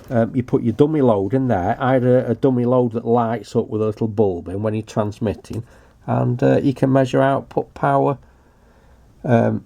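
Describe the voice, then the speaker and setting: A man's voice: mid-range voice, vocal fry, mellow, slight background noise. An older British man giving a casual show-and-tell commentary in a hesitant, matter-of-fact way. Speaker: hobbyist video presenter.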